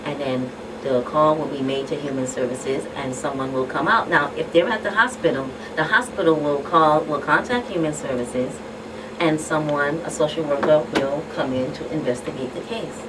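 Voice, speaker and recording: A woman with a low voice gives plain, step-by-step instructions as a radio guest expert. She sounds a little off the mic, with clear background noise. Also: normal speed, distant mic. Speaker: guest expert from social services. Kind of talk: explaining procedure on radio.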